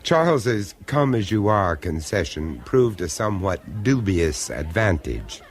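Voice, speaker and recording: An actor playing an urbane, reserved and wry gentleman, measured and refined, in a 1970s radio horror drama. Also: deep voice, big pitch swings, soft background music, heavily acted. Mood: wry, ominous storytelling. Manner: measured, refined, theatrical delivery.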